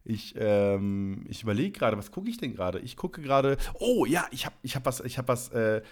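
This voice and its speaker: Male co-host, mid-pitched, rambling and wry, casual chat. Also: animated, moderate pace. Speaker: podcast co-host.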